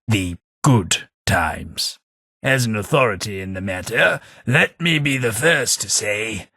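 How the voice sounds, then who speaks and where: A man voicing a posh ghoul gentleman companion in a video game, dry, sardonic and fussy. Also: lowish voice, dry recording, full character voice. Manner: dry, sardonic, prim understatement.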